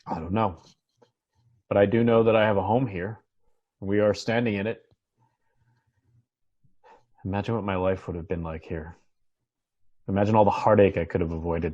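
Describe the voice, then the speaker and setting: A man's voice: low voice, warm tone, dull audio. A man playing an earnest, troubled doctor in a TV drama read, reflective and understated. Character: Muff, earnest, troubled doctor seeking a new home.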